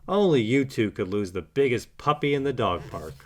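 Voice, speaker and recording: A man's voice: medium pitch, expressive, normal speed, natural voice. A man voicing a snappy, possessive female dog in a children's storybook, curt and sarcastic.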